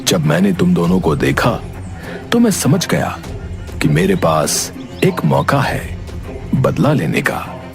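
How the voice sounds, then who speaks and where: Gloating vengeful holy-man sorcerer, very slow delivery, wildly swinging pitch, menacing, cartoon story, music behind. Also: wildly swooping pitch, exaggerated voice. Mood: bitter, vengeful. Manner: slow, theatrical phrases with dramatic pauses. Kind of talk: dramatic Hindi cartoon story voice acting.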